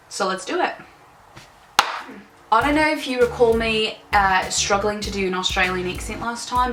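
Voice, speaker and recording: A woman's voice: medium pitch, fairly bright tone. A young woman vlogger chatting casually and animatedly to camera, giggling often. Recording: roomy room, distant mic, faint music.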